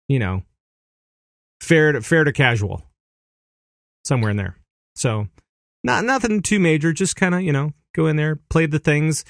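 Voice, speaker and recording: A man's voice: on the high side, fairly bright tone, no room sound, clean studio sound. Rambling man chatting on a podcast. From Minneapolis area, USA. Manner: rambling, laughs easily, many restarts. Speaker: podcast co-host. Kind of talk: casual podcast chat.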